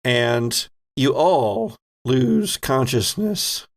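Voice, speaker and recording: A man's voice: medium pitch, big pitch swings, drawn-out words. A man running a tabletop game, narrating and bantering in a playful, conversational way. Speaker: game master.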